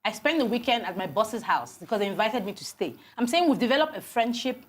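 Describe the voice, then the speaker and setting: A Nigerian woman in her forties talks as a guest on a TV morning show. She speaks quickly and tells her stories with animated energy. She often restarts her sentences as the thoughts come. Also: on the high side. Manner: animated storytelling, many restarts. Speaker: guest, HR consultant. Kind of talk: TV interview conversation.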